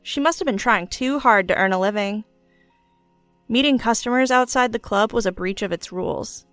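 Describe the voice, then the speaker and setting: A woman's voice: medium pitch, normal speed, a bit bright, dry recording. A woman reading a scripted first-person story as its narrator. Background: background music.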